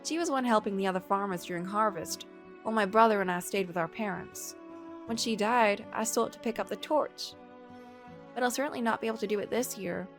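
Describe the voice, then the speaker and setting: A woman voicing a hardworking, modest young farm woman in a visual novel, matter-of-fact and gently instructive. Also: medium pitch, fairly quick, close to an everyday voice. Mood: modest, wistful. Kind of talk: visual novel character reading. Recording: background music.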